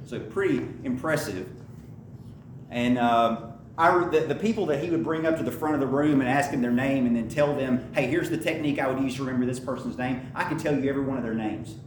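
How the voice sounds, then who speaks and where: A middle-aged man preaching a sermon, telling stories and climbing higher in pitch to stress his points, with frequent restarts. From Lebanon, Tennessee area. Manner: storytelling, rises in pitch for emphasis, frequent restarts. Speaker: pastor. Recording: echoey room from a distant mic, faint noise.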